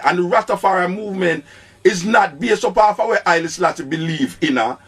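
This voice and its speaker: A man's voice: high-pitched, fairly bright tone, breathy edge. A man with a Jamaican accent hosting an unscripted radio call-in debate in Patois, insistently repeating his questions and phrases.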